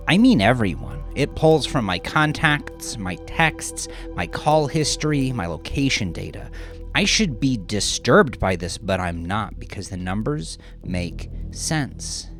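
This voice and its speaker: Male narrator, dramatic first-person horror. Confessional and brooding, widely varied pitch, clear music bed underneath. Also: sing-song, measured pace. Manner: confessional, brooding, often hushed to a near-whisper. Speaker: author and narrator of horror story.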